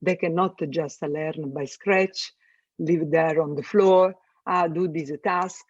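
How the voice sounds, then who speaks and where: A man's voice: a little high, steady tone, takes it slowly, dry recording, dull audio. A man from Italy with an Italian accent, answering interview questions in hesitant non-native English with many fillers. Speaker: guest, space systems engineer.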